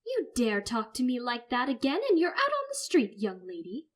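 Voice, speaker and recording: A woman's voice: fairly high pitch, very animated, measured pace, darkish tone, slightly rough, heavily acted. Woman playing a stern, threatening mother. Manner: clipped, through gritted teeth.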